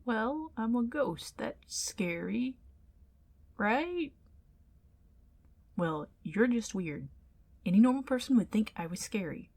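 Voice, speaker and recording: A woman's voice: fairly high pitch, animated, takes it slowly, pauses often, darkish tone, heavily acted. A woman playing an indignant, flustered tsundere ghost in an ASMR roleplay, stammering and defensive as she protests. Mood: huffy, protesting.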